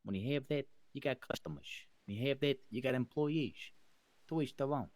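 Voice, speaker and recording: A man's voice: mid-range voice, brisk, darkish tone, dry recording, lightly acted. A man playing an earnest, long-winded mobster who rambles and speechifies in an improvised online game roleplay. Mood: insistent.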